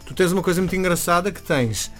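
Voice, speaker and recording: A man interviewing a guest in a casual chat, asking quick follow-up questions. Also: sits high, a bit bright. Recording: faint music behind.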